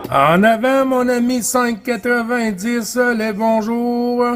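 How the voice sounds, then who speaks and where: A man's voice: very high-pitched, slow pace, a bit bright. A man with a Quebec French accent chatting casually and amiably as a CB radio operator, often repeating his phrases. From Quebec, Canada. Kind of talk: casual radio contact chat. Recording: noisy CB radio session, clear background hiss.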